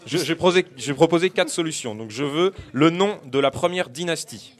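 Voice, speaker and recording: A young man hosting a lively student radio quiz debate, playful and joking with apologetic asides. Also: on the high side, moderate pace. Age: young adult. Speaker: quiz host.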